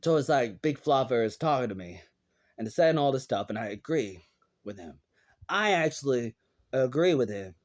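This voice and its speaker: A man's voice: mid-range voice, animated, moderate pace. A man in his thirties with an American accent talks alone in a vlog. His delivery is subdued and rambling, circling back over the same phrases. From United States. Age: 30s. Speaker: vlogger. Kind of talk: rambling solo commentary.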